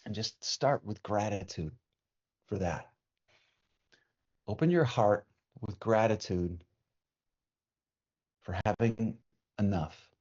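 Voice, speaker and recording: A man leading a guided meditation as a teacher, speaking in a soothing, unhurried way with long pauses. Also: fairly low pitch, expressive, a little mellow, breathy edge. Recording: dull, muffled lo-fi sound.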